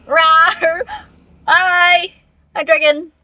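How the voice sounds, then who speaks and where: A woman streaming a game with casual commentary, reacting and rambling in a halting way. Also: very high-pitched, level tone, sharp tone, dry recording, poor audio.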